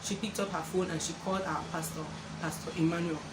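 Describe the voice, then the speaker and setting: A young woman with a Nigerian accent, telling a personal story to her viewers in an animated way and acting out the voices of others. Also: on the low side, fast. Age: young adult. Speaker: vlogger. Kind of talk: storytelling to camera. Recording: echoey room with background noise.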